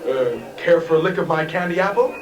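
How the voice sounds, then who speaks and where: A man's voice: on the high side, animated, brisk, highs cut off, very noisy, lightly acted. A man playing a mild, polite, easily flustered uncle in a 1950s radio comedy. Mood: friendly. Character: mild, nervous, good-natured uncle. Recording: old radio broadcast, loud music and audience.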